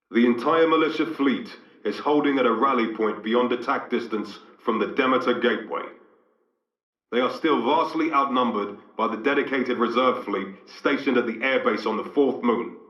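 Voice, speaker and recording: An actor playing a stern, commanding vice admiral in a video game, giving clipped, authoritative orders over a radio comms filter. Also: male voice, medium pitch, normal speed, breathy edge, dry recording. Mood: formal, resolute. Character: stern military vice admiral, grave, commanding fleet officer. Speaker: military commander character. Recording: dull band-limited radio sound.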